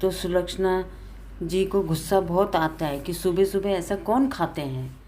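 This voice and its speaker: A woman storyteller with a slightly low voice narrates a domestic tale. Her telling is informal, and there is some noise in the recording. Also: measured pace, warm tone.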